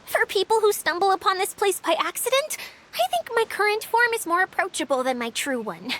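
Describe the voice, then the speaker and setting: A woman's voice: extremely high pitch, very animated, a little fast, a bit bright, a little hiss or hum, exaggerated voice. A woman playing a shy, dramatic female water spirit in an anime-style game, chatty and easily flustered. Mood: earnest, bashful. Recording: long echoey reverb, music behind.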